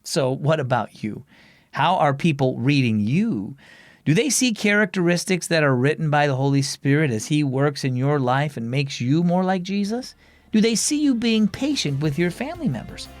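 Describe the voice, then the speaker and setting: Male kids' show host and storyteller, expressive and scripted, very varied intonation, dry studio sound. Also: fairly high pitch, moderate pace, no echo. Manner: animated, expressive. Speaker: host and narrator of a children's devotional show.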